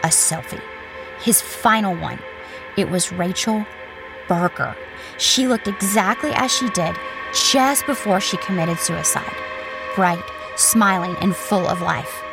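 A woman playing a haunted journalist, narrating a first-person horror story in a measured, suspenseful manner. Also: lowish voice, expressive, creaky, heavily acted, poor audio. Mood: somber, uneasy. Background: music.

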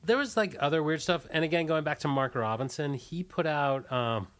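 A man being interviewed as a musician, reminiscing casually and haltingly as he searches for words. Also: fairly high pitch, a bit bright.

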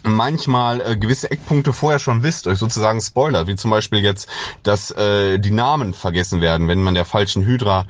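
A man's voice: fairly low pitch, normal speed. A man with an agreeable voice, talking casually and at length in a listener's voice message. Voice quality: pleasant, agreeable voice. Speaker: listener sending a voice message question.

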